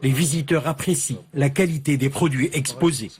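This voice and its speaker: Higher-pitched male news reporter. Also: normal speed. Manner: steady, formal narration. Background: faint music.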